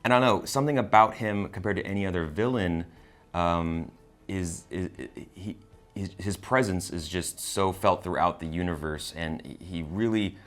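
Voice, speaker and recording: Brief: male review co-host, deep voice, casual, halting, agreeable conversational delivery. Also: fairly bright tone, faint music behind. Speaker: co-host of a film review show. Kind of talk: casual unscripted discussion.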